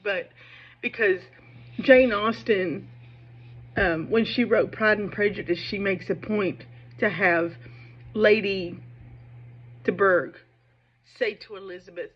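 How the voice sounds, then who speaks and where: A muffled, low-fidelity recording of a woman with a mid-range voice. She comments on literature casually and with strong opinions, wandering between points and checking in with the listener. Her speech has a slight impediment. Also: slightly rough. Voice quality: speech impediment, slows down on hard sh sounds. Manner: emphatic, digressive, conversational, asking "right?" and "okay?". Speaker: book commentator on own video channel.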